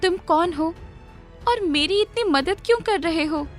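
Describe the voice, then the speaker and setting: A poor young mother voiced by a woman pleads in a high, raised pitch. She sounds trusting, bewildered and distressed, in animated storybook style, with music underneath. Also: measured pace. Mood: puzzled. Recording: music playing behind the voice.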